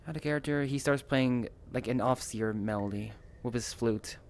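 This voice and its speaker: A man's voice: medium pitch, normal speed. A man improvising in an online roleplay and narrating his actions in a hesitant way, speaking into a headset. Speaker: roleplay player. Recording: slightly noisy headset voice chat.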